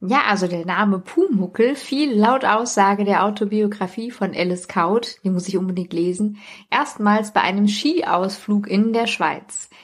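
A woman with a husky voice of medium pitch co-hosts a podcast. Her delivery is casual and conversational. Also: measured pace. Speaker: podcast co-host.

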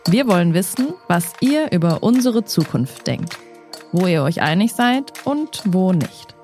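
A woman's voice: fairly low pitch, sing-song, measured pace, a little mellow. A woman reading a scripted introduction in a polished, announcing way. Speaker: narrator. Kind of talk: scripted narration. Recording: voice-over with clear music bed.

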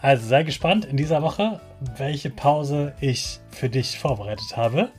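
A man with a husky, medium-pitched voice hosts a podcast for children. He speaks straight to the young listener in simple, encouraging phrases. Music plays behind his voice. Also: a little slow, darkish tone. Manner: encouraging, addresses the child directly in simple words. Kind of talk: motivational children's podcast talk.

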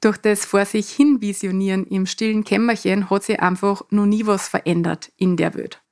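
A middle-aged woman from Lower Austria with a Mostviertel dialect accent, earnest, hosting a solo coaching podcast. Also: fairly even intonation, moderate pace, darkish tone. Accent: Mostviertel Austrian dialect with a touch of Salzburg. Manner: earnest, speaks in dialect rather than standard German.

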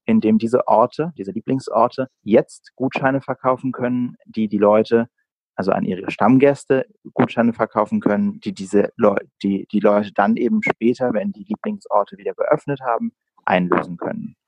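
Guy late 20s from berlin, lowish voice, chatting in an interview, dry close sound. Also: moderate pace, a little mellow, no room sound, dull audio. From Berlin, Germany. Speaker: guest, entrepreneur. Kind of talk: conversational interview answers.

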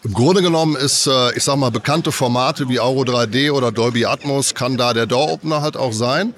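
A man's voice: medium pitch, a little fast, a bit bright. A man with a cold, explaining audio tech at a trade fair in a loose, filler-heavy way. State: has a cold. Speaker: audio company representative. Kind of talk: casual trade-fair interview.

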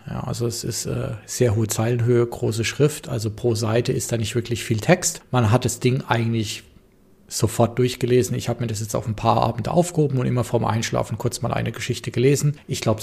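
A man with a gravelly, slightly husky voice at an ordinary pitch, talking through a book review on his own podcast. He muses aloud, hedges his opinions and pauses on small fillers, in a casual solo style. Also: darkish tone. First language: German. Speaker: podcast host reviewing books.

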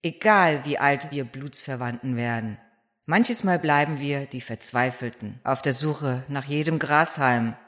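An actor voicing a brooding, haunted vampire who confesses their story in a halting, dramatic monologue for an audio drama. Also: mid-range voice, fairly bright tone, natural voice. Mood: confessional.

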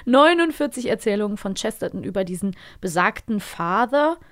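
A woman's voice: medium pitch, lively intonation, moderate pace. A woman with pronunciation, co-hosting a casual conversational review of audio dramas. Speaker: podcast co-host.

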